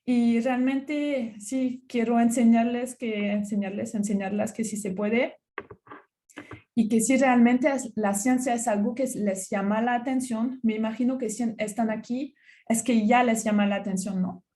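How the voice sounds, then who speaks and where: A woman's voice: on the high side, fairly even intonation, unhurried, mellow. French-accented woman giving a talk. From France. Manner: hesitant, many fillers and restarts. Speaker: university researcher giving a talk. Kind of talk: conference talk.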